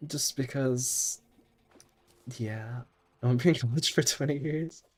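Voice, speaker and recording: A man's voice: medium pitch, measured pace, breathy edge. A young man streaming a game while sick, chatting in a rambling way and laughing often. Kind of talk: casual gaming stream chat.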